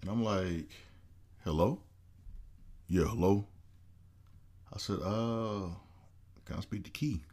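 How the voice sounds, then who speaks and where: A man with a very low, deep voice tells a story on a casual podcast. He brings the people in the story to life by playing their voices, and his delivery stays loose and conversational. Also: slow, long pauses. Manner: animated storyteller acting out quoted voices. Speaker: podcast co-host.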